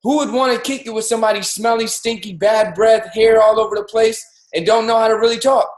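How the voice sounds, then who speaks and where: A man in his thirties giving an energetic, preachy motivational talk as co-host of a livestreamed show, pushing his voice up on key points. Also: high voice, fairly quick, echoey room. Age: 30s. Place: home in Miami, livestreamed call. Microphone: video call.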